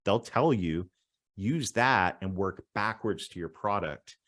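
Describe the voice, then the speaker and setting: A Canadian man with a fairly low voice, recorded dry and close on a podcast mic. He chats as an interview guest in an upbeat, storytelling way. He breaks into laughter often as he talks. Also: lively intonation, takes it slowly, fairly bright tone, no echo. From southwestern Ontario, Canada. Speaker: guest, growth strategist.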